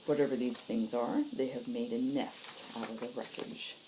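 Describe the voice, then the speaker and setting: A woman's voice: rumbling low, fairly even intonation, moderate pace, very noisy. A woman reading and hosting a story in the style of audiobook narration. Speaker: story reader and host.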